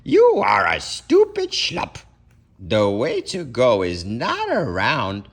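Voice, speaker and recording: A man voicing a mocking, blustery storybook trickster who jeers in drawn-out words. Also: mid-range voice, wildly swooping pitch, full character voice. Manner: jeering, drawn-out. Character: mocking, laughing up hunch full of bluster. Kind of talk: children's storybook read-aloud.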